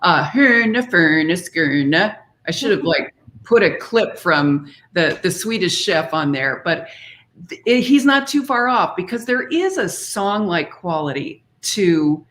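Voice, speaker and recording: Slow-paced woman explaining as interview guest. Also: lowish voice, sing-song, a bit bright. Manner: explanatory, demonstrates foreign words by example. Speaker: interview guest, singer and author. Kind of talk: conversational explanation of singing diction.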